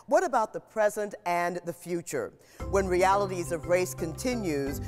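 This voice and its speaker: A woman's voice: lowish voice, darkish tone. Woman hosting a local news feature. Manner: measured, inviting questions to viewers. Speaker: TV host. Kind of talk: scripted news narration.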